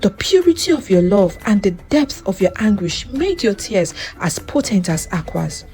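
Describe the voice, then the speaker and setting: A woman voicing a gentle, soothing, wise female spirit in a storybook folktale. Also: medium pitch, sing-song, moderate pace. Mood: tender. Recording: music under the voice.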